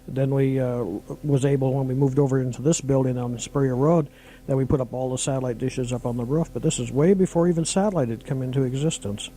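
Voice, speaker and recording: A man's voice: medium pitch, steady tone, quick pace, mellow, a little hiss or hum, rough recording. A middle-aged man reminiscing casually as a radio broadcaster and co-host.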